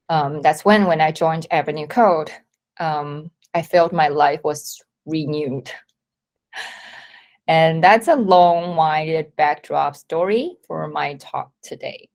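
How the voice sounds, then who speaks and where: A woman in her thirties, originally from Taiwan, giving a measured, reflective presentation at a company event. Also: sits low. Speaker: company talk speaker. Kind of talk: prepared presentation talk.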